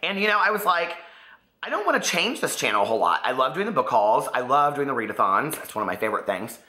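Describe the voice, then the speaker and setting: A man's voice: medium pitch, quick pace. A man chatting casually to camera about his reading, his voice still partly gone and coming back. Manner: chatty, rambling, expressive. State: voice partly lost, recovering. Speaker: book vlogger. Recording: echoey room.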